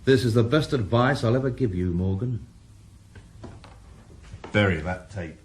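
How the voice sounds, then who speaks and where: A blunt, cynical police inspector. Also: male voice, fairly low pitch, animated, a little slow, darkish tone. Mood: hard. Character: cynical male police inspector, middle-aged. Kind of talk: 1980s radio drama acting.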